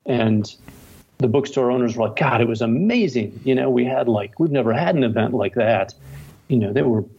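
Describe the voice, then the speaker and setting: A man's voice: medium pitch, lively intonation, moderate pace, a little mellow, slightly rough, muffled recording. A middle-aged male novelist chatting casually as an interview guest, telling stories in a wry, self-deprecating way. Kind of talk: casual interview chat with anecdotes.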